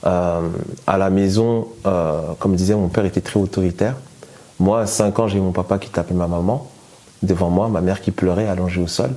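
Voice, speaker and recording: A man's voice: sits low, fairly even intonation, moderate pace, mellow. A man born in France telling his personal testimony in a hesitant way, with many fillers and restarts. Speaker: guest giving testimony.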